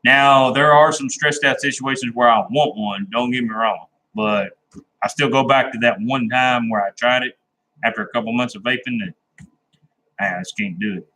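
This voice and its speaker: Man, live-stream host, plain country talker, rambling, bright tone. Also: mid-range voice, moderate pace, poor audio. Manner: rambling and laid-back, with many "uh"s and restarts. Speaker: livestream host chatting with viewers. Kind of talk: casual live-stream chat.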